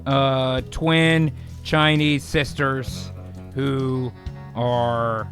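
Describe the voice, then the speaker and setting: A man working as a voice actor, narrating an improvised comedic radio drama in a casual, animated way. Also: mid-range voice, extremely slow, music in the background.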